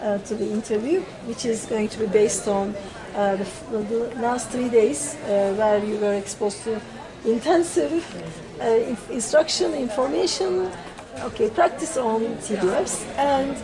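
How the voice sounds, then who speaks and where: Hesitant woman interviewer amid loud chatter. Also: on the high side, unhurried. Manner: hesitant, full of fillers and restarts. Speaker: interviewer and teacher trainer. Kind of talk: semi-formal interview questions. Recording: loud crowd chatter behind. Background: chatter of many people.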